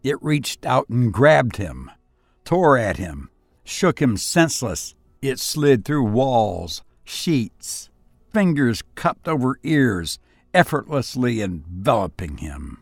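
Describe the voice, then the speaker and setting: A man hosting and narrating horror stories in a dramatic, scripted style, with deliberate, suspenseful pauses. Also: medium pitch, sing-song, breathy edge, dry recording. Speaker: narrator and host of audio story show.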